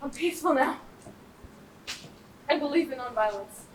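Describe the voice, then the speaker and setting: A young woman playing a deadpan tough bruiser who claims to be peaceful, in a student stage comedy. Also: high voice, moderate pace. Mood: stubborn. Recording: distant stage mic, faint noise.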